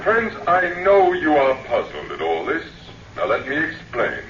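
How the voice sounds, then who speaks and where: Dignified alien orator, echoey and distant. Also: male voice, medium pitch, wildly swooping pitch, fairly bright tone, lo-fi sound, heavily acted. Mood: grand, explanatory. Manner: formal, oratorical, deliberate. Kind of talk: old radio drama speech. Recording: echoey, distant, noisy vintage radio recording.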